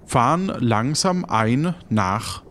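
Slow-paced man narrating an adventure. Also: medium pitch, animated, a bit bright. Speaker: game master and narrator. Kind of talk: narrating an improvised audio adventure.

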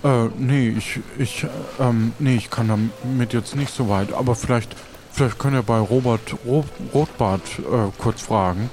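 Man playing a slow, hesitant helpful fellow. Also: medium pitch, background noise, lightly acted. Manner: halting, stammering, hesitant. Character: hesitant, helpful pirate acquaintance named Sören. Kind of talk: improvised audio adventure acting.

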